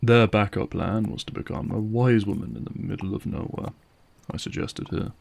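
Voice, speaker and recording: A man plays a guarded monster hunter in a fantasy roleplay. His voice is fairly low with a heavy creak. He speaks in a terse, dry manner. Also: fairly even intonation, moderate pace, darkish tone, no echo. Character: wry, guarded male vampire hunter, gruff protective ally. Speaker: voice actor playing a vampire hunter. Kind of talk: fantasy audio roleplay acting.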